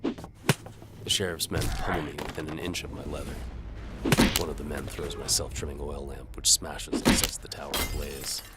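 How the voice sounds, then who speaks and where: A man playing a sardonic, world-weary medieval bow for hire, narrating in a wry deadpan as scripted audio drama. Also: extremely low pitch, normal speed, heavy background noise. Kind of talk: first-person scripted narration, hard-boiled audio drama.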